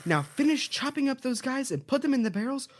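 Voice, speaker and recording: A woman's voice: sits high, very animated, fairly quick. A woman playing a cheerful, bossy children's-show host in an audio drama, giving instructions in an upbeat, reassuring way. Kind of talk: audio drama, parody of educational kids' show.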